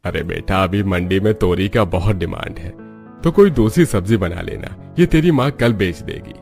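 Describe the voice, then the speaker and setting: A man voicing a gentle village farmer, father of a grown daughter, in a cartoon story. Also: deep voice, animated, normal speed, gravelly, faint music behind. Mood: mild, gentle.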